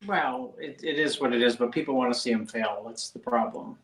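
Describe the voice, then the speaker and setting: Wry male co-host with mid-pitched voice. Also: fairly quick, a bit bright, a little hiss or hum, low-quality recording. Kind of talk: casual talk radio banter.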